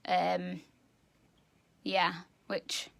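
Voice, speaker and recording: A woman with a loud, high-pitched voice, chatting enthusiastically as a zoo educator teaching children. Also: completely flat delivery, very slow, creaky. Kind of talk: live educational animal talk.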